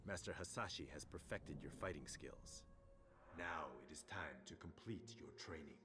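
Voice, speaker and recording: A man playing a grave, resolute middle-aged warrior father in a video game, speaking in a measured, formal way. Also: very deep voice, noisy background. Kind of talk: dramatic video game acting.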